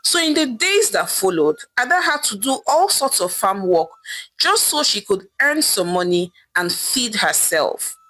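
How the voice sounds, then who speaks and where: A woman narrating a scripted folktale in a dramatic, expressive storytelling manner. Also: medium pitch, measured pace, low-quality recording. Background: background music.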